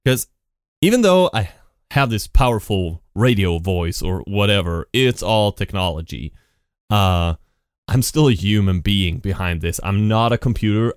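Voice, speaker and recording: A man's voice: fairly low pitch, smooth, no echo, clean studio sound. A man hosting his own podcast, talking off the cuff in a rambling way with lots of restarts, into a decent mic at his computer. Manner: rambling, many restarts and repeated "and". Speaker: podcast host. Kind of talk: unscripted solo podcast monologue. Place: home setup at a computer. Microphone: studio podcast mic with effects.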